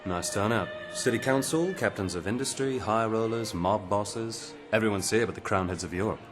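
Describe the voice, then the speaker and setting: A man's voice: on the low side, moderate pace, lightly acted. An actor voicing an easygoing, joking male handler in a game cutscene. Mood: wry. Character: wry male handler speaking over comms. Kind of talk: video game cutscene acting. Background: background music.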